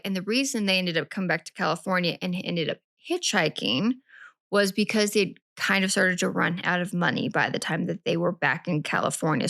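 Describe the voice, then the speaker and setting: A woman's voice: lowish voice, vocal fry, fairly bright tone, dry recording, clean studio sound. A woman co-hosting a true-crime podcast, recapping a case in a chatty, rambling way and often correcting herself. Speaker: podcast co-host.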